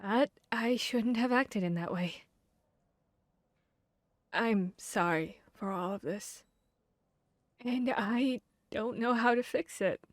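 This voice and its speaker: A woman playing a guarded, bashful vampire hunter in an intimate ASMR roleplay, speaking earnestly and haltingly. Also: on the high side, no room sound, clean studio sound. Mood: ashamed, apologetic. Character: guarded, bashful female vampire hunter confessing tender feelings. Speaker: audio roleplay voice actor.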